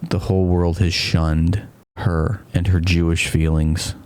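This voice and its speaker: A man's voice: low-pitched, little pitch movement, a little slow, a little mellow, clear, resonant tone. A man giving sarcastic reaction commentary, full of mocking, sardonic asides.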